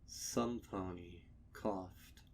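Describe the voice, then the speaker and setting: A man narrating a dark horror story in a deliberate, somber, dramatic manner. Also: low voice, gravelly, recorded from a distance, slight background noise.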